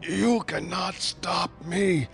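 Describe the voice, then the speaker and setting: A raving, self-proclaimed immortal villain, put on by a male narrator, booming slow, drawn-out declarations far above a normal speaking voice. Soft music runs underneath. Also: gravelly, exaggerated voice. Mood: raving defiant.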